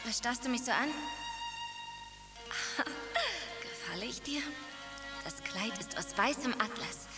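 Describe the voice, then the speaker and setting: A young woman playing a spirited, quick-tempered princess, a tsar's daughter, in a radio play, emotional and forthright. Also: on the high side, lively intonation, in a large hall, over loud music. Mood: coaxing. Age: young adult. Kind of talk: radio drama acting.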